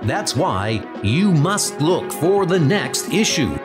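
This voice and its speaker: A man's voice: fairly high pitch, big pitch swings, slow pace. A man narrating a comic adventure in a dramatic, scripted style. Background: music.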